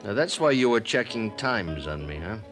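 A rambling half-drunk producer, middle-aged, low lively voice, old radio broadcast with music. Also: male voice, moderate pace. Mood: confiding. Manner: theatrical, rambling, laughing, mock-drunk. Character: tipsy, world-weary middle-aged male radio producer. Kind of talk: 1950s radio drama acting.

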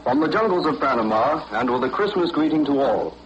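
A man's voice: mid-range voice, lively intonation, fairly quick, highs cut off. An American man from West Virginia, an army corporal, conducting a scripted 1940s radio interview. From West Virginia, United States. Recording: faintly noisy vintage 1940s radio broadcast.

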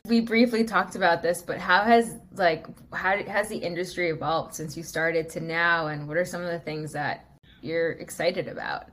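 A woman's voice: on the low side, fairly even intonation, normal speed, a bit bright, a little hiss or hum. Chatty American-born woman host. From USA. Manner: chatty, laughs easily, relates personally. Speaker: podcast host. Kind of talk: casual interview chat.

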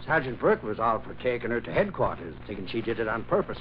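A man acting an excitable, blustery newspaper reporter with slangy talk in an old-time American radio drama. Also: medium pitch, quick pace, natural voice. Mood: animated, gossipy. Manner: blustery, exasperated, colloquial. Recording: muffled, hissy old radio recording.

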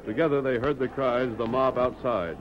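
A man's voice: medium pitch, steady tone, a little fast, a crowd in the background. A man reading dramatic scripted narration for an old-time radio western. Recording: muffled vintage radio recording.

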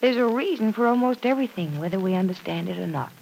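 A woman playing a kindly, sympathetic lady of the house in a classic radio drama, with a gentle, reassuring manner. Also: mid-range voice, expressive, quick pace, creaky, slight background noise, rough recording.

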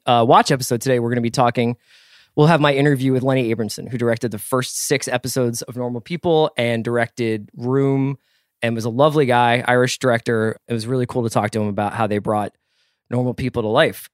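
A man's voice: mid-range voice, brisk, no room sound, clean studio sound. An American man hosting a podcast in a casual, jokey, exuberant manner. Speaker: podcast host. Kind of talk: casual chat and sponsor read.

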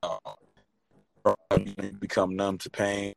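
A young man speaks with a low voice that stays flat and steady. He talks loosely in street slang and keeps checking in with "you know what I mean". The sound is dry, with no room echo. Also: slow pace, vocal fry, soft background music. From Detroit, Michigan. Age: young adult. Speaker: guest, boxer and entrepreneur. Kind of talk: casual interview talk.

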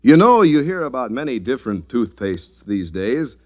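A man's voice: medium pitch, very animated, normal speed, very noisy. A male announcer giving a polished, persuasive scripted read in the manner of 1940s radio advertising. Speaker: commercial announcer. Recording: muffled old radio recording.

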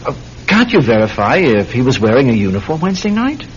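Casting: male actor as eager young amateur sleuth, hugely expressive swooping delivery, vintage radio play, muffled with slight noise. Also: fast talker, natural voice. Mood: coaxing. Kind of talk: classic radio drama acting. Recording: dull, rumbling, noisy old radio recording.

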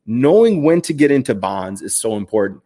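A man's voice: mid-range voice, big pitch swings, normal speed, dark tone. A man in his thirties hosting a live stream, answering viewer questions in a chatty, upbeat way with lots of fillers and restarts. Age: 30s. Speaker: finance livestream host.

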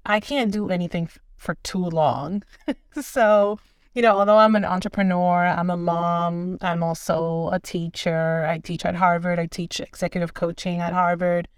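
Smooth-voiced woman coach from the Dominican Republic. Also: lowish voice, steady tone, moderate pace, no room sound, studio quality. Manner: enthusiastic, laughs often, lists things. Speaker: guest, leadership coach. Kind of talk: casual interview chat.

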